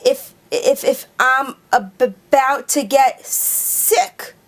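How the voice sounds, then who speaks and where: A woman reading a storybook, voicing a nervous young boy who stutters and speaks slowly and haltingly. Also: sits high.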